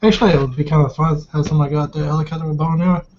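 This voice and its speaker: A man's voice: on the high side, steady tone, very fast, darkish tone, slight background noise. A man in casual, rambling, informal chat as a player in a tabletop roleplaying game. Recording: dull lo-fi voice recording.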